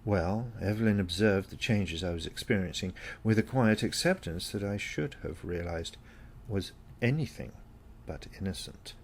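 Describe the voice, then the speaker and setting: A man narrates a first-person story in a fairly low voice with a gravelly creak. His delivery is measured and reflective, phrased with deliberation. There is noticeable noise behind him. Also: expressive. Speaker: story reader.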